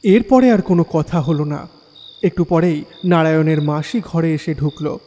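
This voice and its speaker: A man's voice: on the high side, moderate pace, dark tone, close to an everyday voice. An actor playing a troubled young man who narrates his own ghostly tale in a dramatic audio story. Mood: suspenseful recounting. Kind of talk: dramatic first-person horror story narration. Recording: long reverb, faint music bed.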